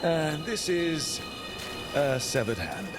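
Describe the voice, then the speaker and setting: A man's voice: fairly high pitch, expressive, slow pace, over music. An actor playing a casual, plucky young male hero in a video game, informal and direct. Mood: alert.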